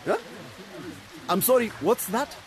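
A man's voice: high voice, animated, unhurried, crowd noise. An actor playing a harsh boss who scolds his workers and fires one on the spot. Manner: scolding and domineering. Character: harsh bullying male boss. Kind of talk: radio drama acting.

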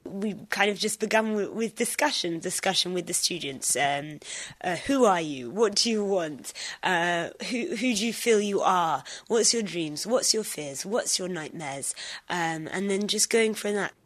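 Woman director thinking aloud, lowish voice. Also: animated, measured pace, a bit bright. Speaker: theatre director.

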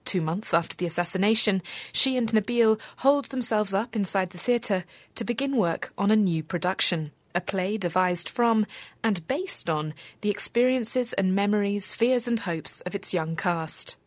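A woman reporter reading a scripted radio news feature in a measured, even way. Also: medium pitch, dry recording.

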